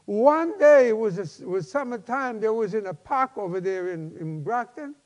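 A man in his seventies, a pastor preaching a personal testimony in an emphatic, halting, folksy way. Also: high voice, expressive, darkish tone, lo-fi sound. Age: 70s. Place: large church sanctuary, at a lectern. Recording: long hall reverb.

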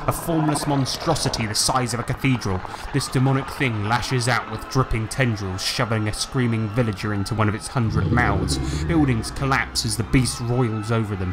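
A man narrating a tabletop role-playing game as game master, on a noisy home recording. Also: medium pitch.

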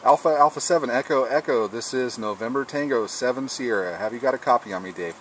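A man's voice: medium pitch, fast talker. A man speaking casually as an amateur radio operator testing his rig, recorded outdoors. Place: outdoors on a patio table beside a lawn. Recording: faint background noise, recorded outdoors.

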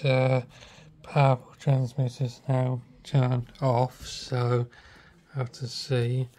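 A man giving casual, halting, muttered hands-on commentary about gadgets. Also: mid-range voice, monotone, dark tone, faint music behind. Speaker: radio hobbyist reviewer. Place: indoors in a house. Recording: clearly noisy handheld home recording.

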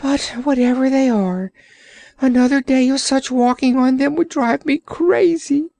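A woman's voice: high voice, unhurried, gravelly, warm tone, dry recording, voice pushed up. A woman voicing a whiny, complaining male orc creature in a storybook reading, groaning and self-pitying.

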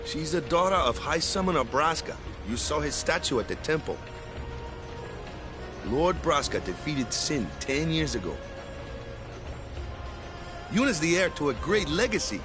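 Proud earnest fantasy game guy, lively higher voice, music behind. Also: measured pace, long pauses. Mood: reverent. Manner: explanatory, reverent. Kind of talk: video game voice acting.

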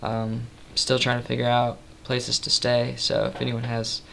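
Tired young man with a gravelly voice. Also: completely flat delivery, unhurried, slight background noise. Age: 20s. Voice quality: slightly raspy from tiredness. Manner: rambling, many ums, soft and low-key. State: tired, late at night. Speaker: vlogger. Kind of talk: casual webcam update. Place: small room indoors. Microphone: built-in webcam mic at close range.

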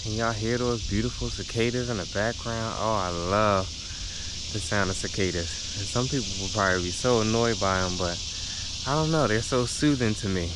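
A man's voice: fairly low pitch, normal speed. A man, chatting upbeat to the camera as he walks through a park. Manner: chatty and upbeat, addressing viewers as y'all. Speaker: vlogger. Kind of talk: casual vlog talk to camera. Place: outdoors in a park on a sunny day. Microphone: handheld action camera at arm's length while walking. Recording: outdoor noise, insects and traffic. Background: cicadas, insects and passing traffic.

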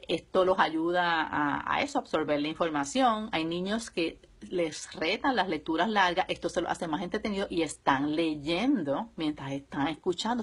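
A woman in her thirties or forties with a Puerto Rican accent and a slightly husky voice, explaining things casually and step by step to a live audience at home. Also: on the low side. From Puerto Rico. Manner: conversational, explaining step by step with examples. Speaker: homeschooling mother and live video host. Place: small room at home, indoors. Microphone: phone or webcam at close range.

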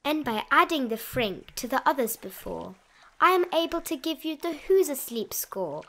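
A woman reading a rhyming children's picture book aloud in an expressive sing-song manner. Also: high voice, normal speed. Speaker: storybook reader.

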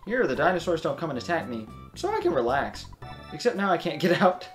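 Monotone young man narrating gameplay. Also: sits high, a little fast, gravelly, soft background music. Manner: self-described monotone, rambling explanations. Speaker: let's play host. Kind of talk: casual gameplay commentary.

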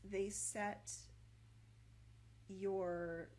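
A woman reads a scripted code walkthrough slowly, in a mid-range voice. She stops often with long pauses, repeats words and over-enunciates each consonant as she pushes through a stutter. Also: mid-range voice, flat delivery, darkish tone, poor audio. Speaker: software tutorial narrator. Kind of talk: scripted screencast narration.

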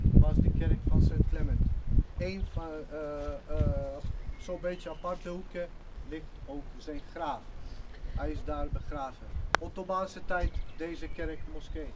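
Man guiding a tour in halting Dutch. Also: on the high side. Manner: halting non-native Dutch, short fragmented phrases with many fillers. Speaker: local tour guide. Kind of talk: outdoor guided tour explanation. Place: outdoors at a church site beside an information board. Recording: outdoor camcorder audio with clear background noise.

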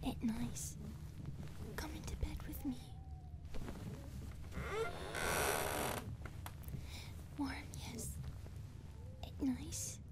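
A woman playing a clingy, loving skinwalker monster girlfriend in an ASMR roleplay, speaking soft, halting broken English and often whispering. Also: high voice. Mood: cozy, affectionate. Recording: clear background ambient noise.

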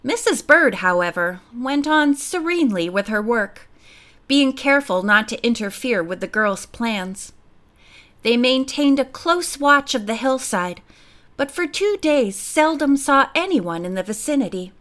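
Woman narrator, audiobook reading, steady, fairly high voice. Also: a little slow. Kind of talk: storybook reading.

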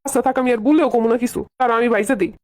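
A woman plays a young Bangladeshi husband in a comedy cartoon. The voice is high and tumbles out very rapidly. He is patient but exasperated, always trying to smooth things over. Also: darkish tone, close to an everyday voice. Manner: conciliatory, exasperated. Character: long-suffering, placating young Bangladeshi husband.

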